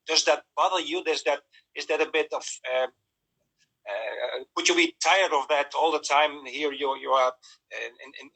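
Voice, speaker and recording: Sharp, forceful male interviewer, halting delivery. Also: a little high, rough recording. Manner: halting, searching for words. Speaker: interviewer hosting a live stream. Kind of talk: casual live interview. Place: remote live video call.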